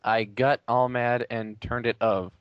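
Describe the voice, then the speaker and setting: A man's voice: medium pitch, level tone, unhurried, clear, resonant tone, dry recording. A young man doing a theatrical read-aloud with dry asides, recorded on a lo-fi microphone. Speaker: player in a comedic dramatic reading game.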